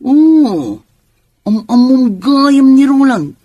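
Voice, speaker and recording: A man playing a chatty, forthright, soft-hearted landlord and neighbour in a radio drama, with a husky voice. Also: very high voice, very animated, drawn-out words, no room sound, heavily acted. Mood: challenging.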